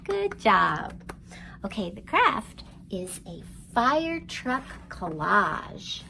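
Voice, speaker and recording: A woman leads storytime for young children at a slow, careful pace. Her voice has a noticeable creak, and she speaks expressively in simple, encouraging language. A light layer of background noise sits in the recording. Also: mid-range voice, very animated, sharp tone, low-quality recording. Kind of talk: read-aloud storytelling and instruction for young children.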